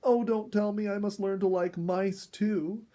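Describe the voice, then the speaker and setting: A man voicing an excitable, squeamish mother in a storybook reading, with dramatic shrieks and moans. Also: high-pitched, a little slow, pitched above the performer's own voice. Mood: aghast. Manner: shrieky exclamations, dramatic moaning. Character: excitable mother terrified of mice, melodramatic and squeamish.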